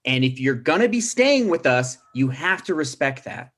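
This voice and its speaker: A man's voice: medium pitch, sing-song, normal speed, fairly bright tone. A man playing a nervous, placating adult son who tries to stand firm, in a comedy table read.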